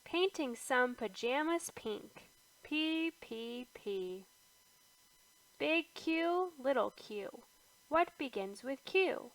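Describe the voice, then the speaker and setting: A woman reads an alphabet picture book aloud for toddlers, her high voice rising on each capital letter and sinking to a near hush on the repeats. She takes everything very slowly, with lots of space between words. The sound is close and dry, with no room around it. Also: animated, dry recording, low-quality recording. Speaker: children's book reader. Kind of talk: slow read-aloud for toddlers.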